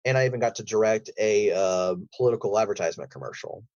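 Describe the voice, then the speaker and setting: A man's voice: on the low side, fast, a little mellow, no echo. Muffled, rambling man in interview. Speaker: guest, voice actor. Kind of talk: interview conversation about voice work.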